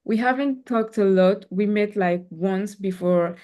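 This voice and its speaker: A young woman whose first language is Creole, a cinematographer guest speaking English haltingly and searching for words. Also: mid-range voice, warm tone. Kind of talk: casual interview talk.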